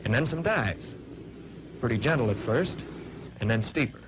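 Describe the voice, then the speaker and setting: An American man playing an earnest fighter pilot trainee, narrating a 1940s training film in measured, matter-of-fact phrases, on an old film soundtrack. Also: medium pitch, lively intonation, natural voice. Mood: earnest, composed. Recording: muffled, noisy vintage 1940s film soundtrack.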